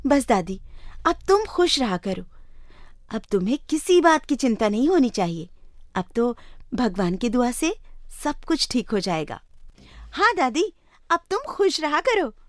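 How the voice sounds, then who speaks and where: A courteous young sister from a bedtime tale , put on by a woman storyteller. Her voice is fairly high with lively swings of pitch. She sounds capable and assuring, in a dry recording. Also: a little slow, long pauses, no echo. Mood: caring. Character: polite, capable young sister running a small eatery.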